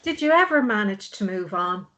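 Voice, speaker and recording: A woman's voice: mid-range voice, sing-song, normal speed, pushed and bright. A woman playing a blunt, worried, exasperated sister of a grieving drunk in a drama table read. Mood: hesitant, worried. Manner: blunt, pleading.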